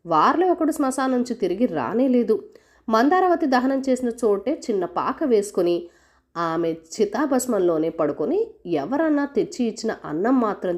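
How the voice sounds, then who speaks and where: A woman's voice: medium pitch, darkish tone. A woman reading a scripted folk tale as a storyteller for children.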